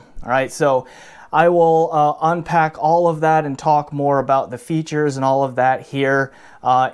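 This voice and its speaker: Casual man reviewing gear on camera. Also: on the high side. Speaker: audio gear reviewer and channel host.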